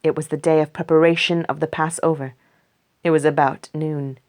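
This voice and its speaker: A woman reading a scripture story aloud for a church congregation, slow and measured. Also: deep voice, flat delivery, a little mellow. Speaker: scripture reader. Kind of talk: scripted read-aloud narration.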